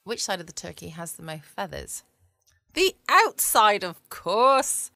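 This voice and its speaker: A woman's voice: medium pitch, very animated, a little slow, gravelly, sharp tone, dry recording, clean studio sound. A woman with a southern English accent hosting a casual bedtime podcast in a chatty, rambling, playful way. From England. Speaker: podcast host. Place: small home studio.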